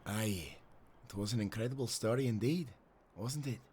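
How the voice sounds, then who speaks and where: An actor playing a devoted, seasoned old sailor in an audio drama, speaking as an earnest storyteller with old seafaring turns of phrase. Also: male voice, lowish voice, moderate pace, darkish tone, slight background noise, lightly acted. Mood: nostalgic. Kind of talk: cinematic audio drama acting.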